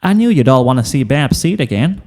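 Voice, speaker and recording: A man voicing an excitable, curious young country girl pony, eager and gushing, in a storybook reading. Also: medium pitch, brisk, in a large hall. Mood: cheerful.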